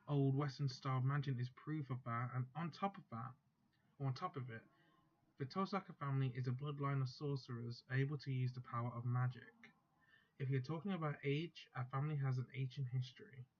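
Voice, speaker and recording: A man casually reading a visual novel's text aloud, steady but stumbling and correcting himself now and then. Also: medium pitch, brisk, highs cut off. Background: faint background music.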